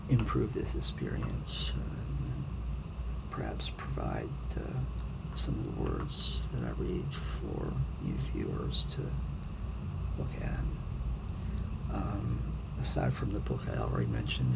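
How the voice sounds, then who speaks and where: A man, an author who reads his own poetry and talks to viewers, with a gravelly, creaky voice. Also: rumbling low. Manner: hesitant, self-deprecating when talking to viewers. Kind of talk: dramatic poetry reading, then casual rambling talk to viewers. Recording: clearly noisy amateur home recording.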